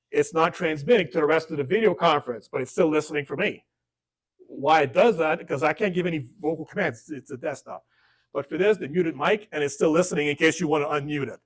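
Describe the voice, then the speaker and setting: Man teaching a casual tech class. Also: a little high. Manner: conversational, joking asides, acts out dialogue. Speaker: workshop instructor. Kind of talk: informal classroom lesson.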